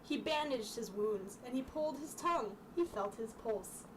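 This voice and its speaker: A woman's voice: on the high side, animated, normal speed. A young woman reads a children's book aloud informally, dropping in casual asides and stumbling over the text. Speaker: storytime reader. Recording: distant mic, clearly noisy room sound.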